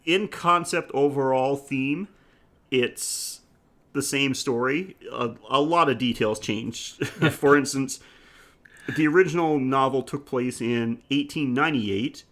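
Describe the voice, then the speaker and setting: A man's voice: mid-range voice, slow, fairly bright tone. Casual, jokey man co-hosting a podcast. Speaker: podcast co-host. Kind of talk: casual film discussion chat.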